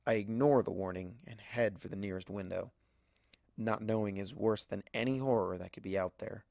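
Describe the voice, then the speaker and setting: A man's voice: on the low side, fairly even intonation, normal speed, creaky, darkish tone, no room sound, studio quality. A man reading a first-person story aloud as dramatic scripted narration. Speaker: audiobook narrator of fan fiction.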